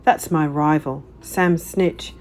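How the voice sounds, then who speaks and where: A woman's voice: deep voice, steady tone, takes it slowly, a little mellow, a little hiss or hum. A woman voicing an earnest male train owner in a children's storybook, formal and explanatory.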